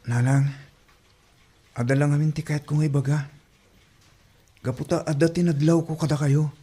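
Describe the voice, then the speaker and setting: A man's voice: on the high side, little pitch movement, moderate pace, warm tone, husky. A man with an Ilocano accent playing a dutiful, worried young son in an audio drama, speaking earnestly and respectfully.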